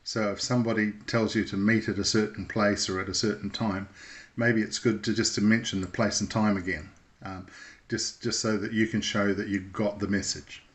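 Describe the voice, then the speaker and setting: A man presenting an informal, unscripted how-to lesson in a measured, explanatory way with thoughtful, hesitant phrasing. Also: on the low side, level tone. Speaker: instructor presenting a radio how-to video.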